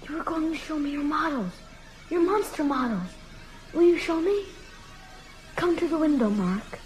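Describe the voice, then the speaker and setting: A woman playing a coaxing, commanding undead vampire boy in a radio drama, slow and insistent. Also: high-pitched, lively intonation, darkish tone, faint music behind. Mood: eerie coaxing.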